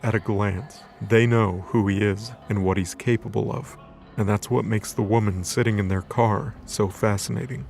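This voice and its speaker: A man's voice: fairly low pitch, steady tone, moderate pace, gravelly, darkish tone. A man reading scripted, dramatic narration for an audio drama story. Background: background music.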